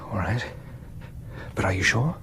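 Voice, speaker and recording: A man's voice: lowish voice, expressive, a little slow, pushed and bright, a little hiss or hum. An actor playing an earnest, troubled English clergyman in a radio drama, with an urgent, conscientious manner. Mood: grave.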